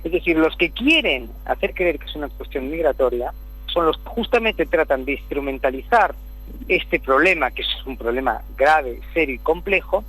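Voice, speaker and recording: A middle-aged man from Spain, a city councillor, explaining politics in a measured way, often repeating words as he searches for them. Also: on the high side, animated, a bit bright, slightly rough, low-quality recording. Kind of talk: political interview over the phone.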